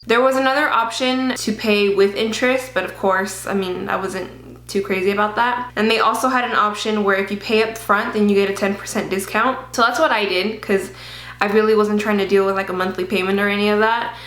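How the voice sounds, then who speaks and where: A woman's voice: mid-range voice, fairly even intonation, a little fast, a bit bright. Chatty woman vlogging in a roomy space. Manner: chatty, many fillers, occasional swearing. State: slight lisp from dental aligners. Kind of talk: casual personal vlog. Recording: roomy echo.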